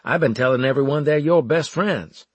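A big, brash soldier, played by a male audiobook narrator, talks in a laid-back, colloquial drawl of jokes and teasing. The voice is slightly husky and dry-recorded. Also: fairly high pitch, moderate pace, no room sound, pitched above the performer's own voice.

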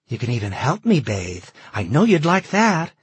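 A man's voice: medium pitch, huge pitch swings, normal speed, slightly rough, no echo, pitched above the performer's own voice, exaggerated voice. A man voicing a hungry refugee woman who pleads with a soldier and nears hysteria. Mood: pleading. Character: desperate, hungry, sobbing young woman refugee.

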